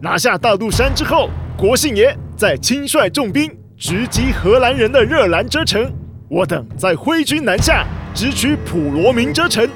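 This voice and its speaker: A man acting in a radio drama as a scheming, flattering informer with an oily, sneering manner. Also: extremely high pitch, normal speed, music in the background, heavily acted. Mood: scornful, boastful. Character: scheming, sycophantic male informer with a silver tongue.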